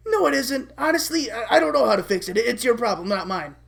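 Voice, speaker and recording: A woman's voice: a little high, big pitch swings, a little fast, gravelly, voice pushed up, heavily acted. A woman voicing a small, scolding shoulder angel who pleads impatiently in a cartoon-style story. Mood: urgent, impatient. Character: scolding little shoulder angel, moral but impatient boy.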